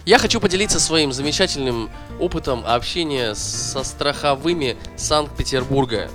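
A man's voice: mid-range voice, moderate pace, over music. A man on a podcast who tells a story casually and swears often, worked up and ranting. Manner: profane, animated ranting. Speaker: podcast co-host.

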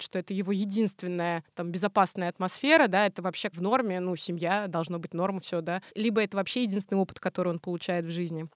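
A woman with a medium-pitched voice talks as an invited expert in an interview. She explains in an informal, conversational way, reaching for everyday examples. The sound is dry and close, with little room in it. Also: dry recording. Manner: informal, illustrates points with everyday examples and diminutives. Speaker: guest expert on psychology.

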